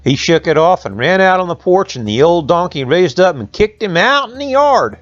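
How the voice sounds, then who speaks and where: Guy telling an old folk tale, country phrasing n little asides, bit high, soft music under. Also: big pitch swings, normal speed, muffled recording. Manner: rustic dialect phrasing, conversational asides. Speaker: storyteller. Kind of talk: folksy oral storytelling.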